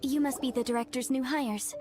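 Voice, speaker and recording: A woman voicing a composed, reserved funeral parlor worker in a video game, measured and formal as she cautions. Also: high voice, fairly even intonation, over loud music, natural voice. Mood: calm warning. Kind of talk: video game character acting.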